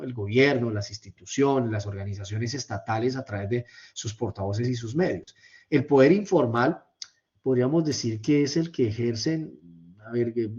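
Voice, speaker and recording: A man's voice: medium pitch, mellow. A man giving a university lecture over slides in a hesitant, explanatory way, often repeating words and checking in with tag questions. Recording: slightly dull, lo-fi microphone sound.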